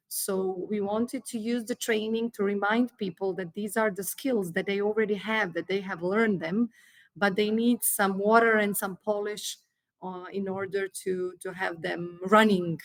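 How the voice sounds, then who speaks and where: A woman from Croatia with a Croatian accent, a probation trainer answering interview questions in careful English, pausing often with fillers and restarts. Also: mid-range voice, no room sound. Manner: hesitant, many fillers and restarts.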